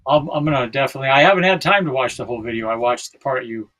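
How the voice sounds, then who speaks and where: A man's voice: mid-range voice, lively intonation, very fast, fairly bright tone, rough recording. An American man in his sixties from South Dakota, talking casually and reflectively as a podcast guest, often searching for his words. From South Dakota, United States.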